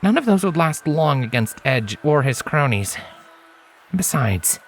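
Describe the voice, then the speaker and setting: A man's voice: a little high, sing-song, unhurried, a little hiss or hum, pitched above the performer's own voice. A man voicing an easygoing young stallion, a pony mage in a fantasy story, casual and reassuring. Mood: reassuring, persuasive.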